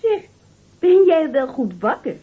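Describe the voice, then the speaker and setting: An actress playing a brisk, no-nonsense bride-to-be in a radio play, scolding and questioning in exasperation. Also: female voice, sits high, very animated. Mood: nagging, suspicious. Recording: thin, narrow-band old radio recording.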